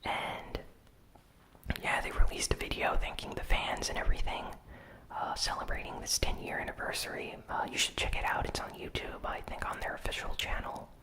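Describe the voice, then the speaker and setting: Someone doing asmr gaming news, all breathy whisper, no voice in it, chatty and informal. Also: normal speed, pushed and bright, low-quality recording. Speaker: host of a gaming news show. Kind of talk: ASMR news recap with casual commentary.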